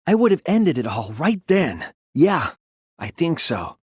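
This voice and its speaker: A man's voice: a little high, slightly rough, no room sound, studio quality. A young man voicing an earnest, wounded but defiant teenage boy detective in a dramatic video game dub, emotional and emphatic. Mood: quiet, somber determination. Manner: emotional, emphatic, swinging from hushed to impassioned.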